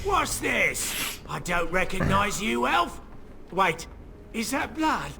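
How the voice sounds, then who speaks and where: A man's voice: very animated, takes it slowly, creaky, pushed and bright, faint music behind, exaggerated voice. An actor playing a haughty, officious male castle servant in a fantasy video game, indignant and alarmed. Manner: indignant, shrill.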